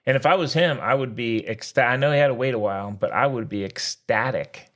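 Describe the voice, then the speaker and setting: A man's voice: medium pitch, a little fast, fairly bright tone. A man co-hosting a sports podcast, chatting casually in a folksy, jokey way with slangy exclamations. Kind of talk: casual sports chat, joking banter.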